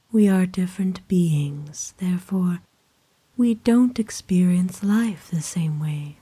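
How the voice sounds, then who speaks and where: A woman voicing a kind, doting aristocratic vampire in an ASMR roleplay, speaking formally and tenderly in a soft, breathy whisper. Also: fairly low pitch, no echo, full character voice. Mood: patient, affectionate. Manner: formal, genteel, tender, unhurried. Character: kind, doting aristocratic female vampire owner, gently possessive.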